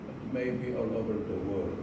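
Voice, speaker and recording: A man giving a prepared speech to an audience in a slow, deliberate manner, repeating phrases for emphasis. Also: fairly low pitch, fairly even intonation. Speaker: club speaker giving a speech. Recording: echoey room, distant mic, noisy.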